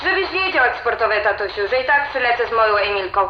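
Girl acting furious defiant grown daughter in a radio play, high voice, muffled echoey audio. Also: lively intonation, fast, lightly acted. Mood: scornful. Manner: biting, accusatory. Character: angry, scornful adult daughter, defiant and wounded. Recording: dull, roomy, radio-like sound, faint noise.

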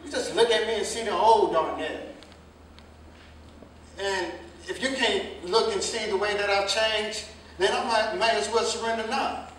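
A man's voice: high voice, a little slow, a bit bright. A man acting an earnest, defensive young working man pleading his case in a staged drama reading, with emphatic, impassioned vernacular delivery. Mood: exasperated, defensive. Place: live staged reading, recorded from a distance indoors. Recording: very echoey room, distant mic, faint noise.

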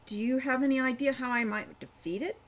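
A woman's voice: high-pitched, a little fast, pitched above the performer's own voice, natural voice. A woman voicing an earnest, polite young man who wants to be a hero, in a storybook reading. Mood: eager, earnest. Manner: earnest, questioning.

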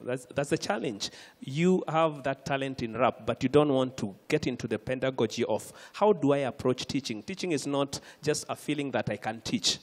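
A man's voice: medium pitch, expressive, moderate pace, few pauses, big-room sound. A man with a Kenyan accent answering on a conference panel into a handheld mic, earnest, with many restarts. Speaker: panelist, lecturer. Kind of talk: panel discussion. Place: conference stage.

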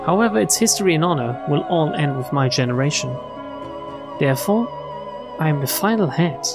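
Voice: medium pitch, expressive, fairly quick. A streamer reading visual novel text aloud in a dramatic narrating style. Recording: game music behind the voice.

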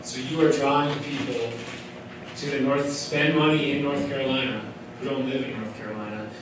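A man in his thirties presents to an audience from a podium, talking in a casual, enthusiastic way full of "um"s and restarts. He is recorded from far back in a large hall, so his voice rings with heavy echo over a clear layer of background noise. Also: medium pitch, fairly even intonation, normal speed. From Colorado. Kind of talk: conference presentation. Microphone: podium mic, filmed from far back. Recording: echoey hall from far off, noisy.

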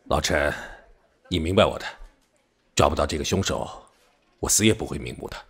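A man playing a serious, dogged middle-aged police detective in an audio drama, speaking in a measured, firm way. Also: a bass voice, slightly rough, full character voice. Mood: weary, resolute. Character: dogged veteran police detective leading a murder case. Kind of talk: Chinese audio drama acting.

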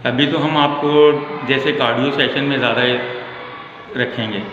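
A middle-aged gym trainer patiently explaining a cardio plan step by step, recorded at a distance on a phone in an indoor gym. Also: male voice, medium pitch, fairly even intonation, unhurried, noisy background. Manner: patient, step-by-step instruction with frequent "inshallah". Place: indoor gym with hard walls. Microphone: vlogger's phone held at a distance. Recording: very echoey, dull gym audio.

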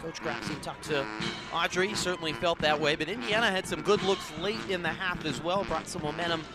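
A man's voice: fairly high pitch, fairly quick, over music, rough recording. A young man doing live play-by-play commentary of a soccer match as the announcer. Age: young adult.

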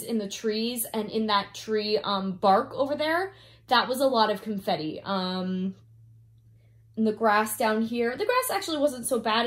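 A young woman casually chatting through a craft kit review, a little congested from a cold, rambling and giggling with many fillers. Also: fairly high pitch. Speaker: diamond painting vlogger. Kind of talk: casual review chat, reading off notes. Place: indoors at home, filming down at a canvas.

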